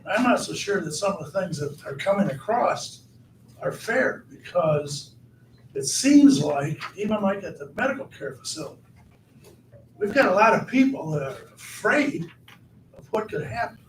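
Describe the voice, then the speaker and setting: A hoarse man chairing a county board meeting, repeating himself and asking the room questions. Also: sits high, sing-song, normal speed. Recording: echoey hall, far from the mic, background noise.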